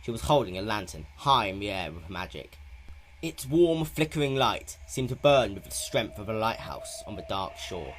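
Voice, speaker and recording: Lower-pitched man, dramatic, swooping story narration. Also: sing-song, moderate pace, slight background noise.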